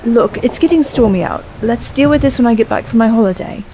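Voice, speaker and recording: A woman's voice: on the high side, brisk, noisy background, close to an everyday voice. A woman playing a weary, irritable young woman traveller in an audio drama, sighing and sarcastic. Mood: exasperated, sarcastic. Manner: exasperated, sarcastic sighing delivery.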